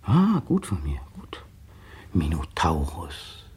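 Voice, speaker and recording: A brooding, bitter monologuist. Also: male voice, very deep voice, huge pitch swings, takes it slowly, a little mellow, slightly rough, full character voice. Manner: brooding, bitter, precise diction. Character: tense bitter man brooding on his partner. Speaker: male narrator of a radio play. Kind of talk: 1960 radio drama inner monologue.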